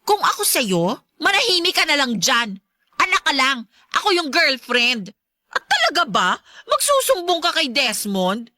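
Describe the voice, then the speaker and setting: A woman's voice: sits high, big pitch swings, a little slow, pushed and bright, no echo, studio quality, heavily acted. A woman playing a spiteful, brazen stepmother figure in a Filipino radio drama, with a taunting, condescending, sugary manner and a Filipino accent. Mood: smug, taunting. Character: spiteful, brazen stepmonster girlfriend of a widowed father.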